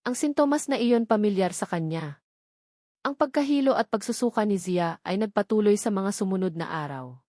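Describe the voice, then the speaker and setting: A woman's voice: medium pitch, lively intonation, normal speed, a little mellow, no room sound, clean studio sound. A woman with a Filipino accent narrating a scripted romantic drama as an audiobook storyteller.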